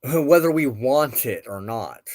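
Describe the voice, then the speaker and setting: Slow-spoken man giving a tarot reading. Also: mid-range voice, lively intonation, darkish tone, dry recording. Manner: deliberate phrasing with frequent pauses. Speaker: host of a spiritual tarot show. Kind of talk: tarot card reading, reflective spoken commentary.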